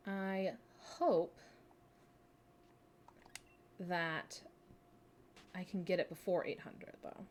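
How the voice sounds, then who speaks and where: A woman's voice: fairly low pitch, steady tone, very slow, long pauses, slight background noise. Giggly Midwestern woman streamer. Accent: Midwestern American. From Minnesota, USA. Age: 20s. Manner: chatty, giggly, self-deprecating. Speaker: game streamer. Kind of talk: casual live-stream chat while playing.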